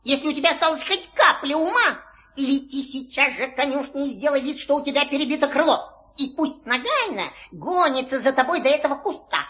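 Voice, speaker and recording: High-voiced plucky boy mongoose. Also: animated, a little fast, bright, forceful tone. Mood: impatient, commanding. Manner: boastful, impatient. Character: brave, bossy young male mongoose hero. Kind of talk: Soviet audio fairy-tale acting on record. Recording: dull old vinyl record sound.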